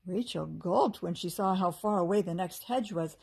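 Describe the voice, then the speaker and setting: A woman reading a storybook aloud as a teacher would to children, with expressive delivery and character voices. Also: on the low side, moderate pace, creaky, breathy edge.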